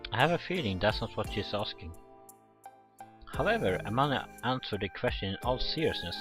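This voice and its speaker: A man hosting a let's play, reading visual novel text aloud in a casual, halting way with asides. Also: fairly low pitch, big pitch swings. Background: game music.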